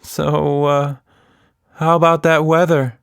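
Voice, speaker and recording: Storybook young girl, male reader: higher voice, anxious, hesitant, dry close recording. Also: flat delivery, takes it slowly, vocal fry, a bit bright, smooth, dry recording, exaggerated voice. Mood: nervous. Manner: nervous, doubtful.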